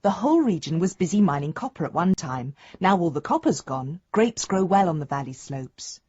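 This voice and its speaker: Fond daughter reading a letter home, lowish husky voice, earnest, radio play. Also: female voice, very animated, normal speed, dark tone, dry recording. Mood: fond, hopeful. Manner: affectionate, earnest. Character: affectionate, hopeful married daughter writing home to father. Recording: dry, slightly dull studio sound.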